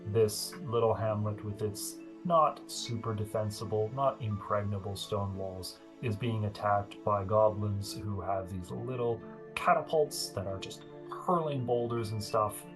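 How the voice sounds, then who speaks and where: A man running a tabletop game as game master, chatty, laughing often and filling pauses with ums. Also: fairly low pitch, music in the background.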